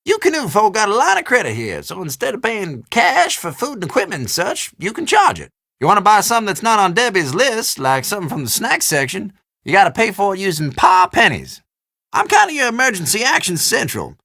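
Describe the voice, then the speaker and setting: An actor playing a folksy old storekeeper in a video game, chatty and teasing, with a drawl. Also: male voice, sits high, wildly swooping pitch, a little fast, gravelly, pushed and bright, dry recording, clean studio sound, full character voice. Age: older. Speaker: small-town general store keeper. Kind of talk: video game character dialogue.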